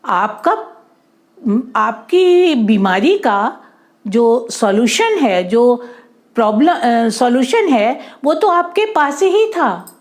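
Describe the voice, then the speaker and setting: A woman's voice: a little high, big pitch swings, takes it slowly. A woman voicing a clever, confident young boy who explains things simply and asks questions in a children's story. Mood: surprised, explaining.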